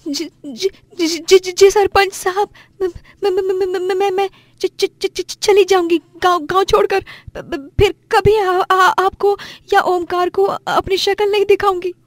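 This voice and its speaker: A woman's voice: very high voice, steady tone, measured pace, few pauses, dry recording, pitched above the performer's own voice. A woman voicing a meek, poor young village woman in love, timid and deferential, in a moral-story cartoon. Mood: terrified, stammering.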